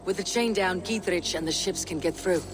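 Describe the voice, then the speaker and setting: Young, loyal Viking leader, male, acted by a man, earnest and direct game dialogue, fairly high voice over music. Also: moderate pace, close to an everyday voice. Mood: resolute. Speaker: video game character. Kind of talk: cinematic video game dialogue acting.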